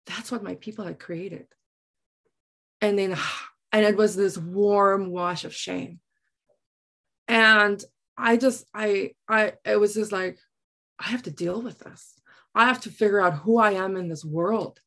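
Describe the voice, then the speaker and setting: A middle-aged woman from South Africa with an Afrikaans accent, telling personal stories reflectively in an earnest way, often halting to search for words. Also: medium pitch, fairly bright tone. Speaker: interview guest, writer. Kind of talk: reflective personal storytelling in conversation.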